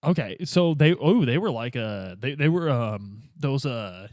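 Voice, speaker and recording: A man's voice: medium pitch, a little slow, no room sound, studio quality. A man playing a role-playing game, bantering casually and colloquially as he speaks for his character. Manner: colloquial, animated.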